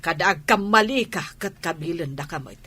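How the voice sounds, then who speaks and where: A woman's voice: fairly low pitch, lively intonation, moderate pace, bright, forceful tone, husky, full character voice. A woman playing a worried middle-aged wife in a radio drama, reproaching her husband. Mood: reproachful, worried.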